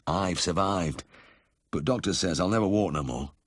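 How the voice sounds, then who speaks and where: A man voicing a bedridden, cheerful ex-miner with a husky South Yorkshire accent in a story reading. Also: deep voice, moderate pace, dry recording, studio quality. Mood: stoical, grateful. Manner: plain-spoken dialect, warm and uncomplaining.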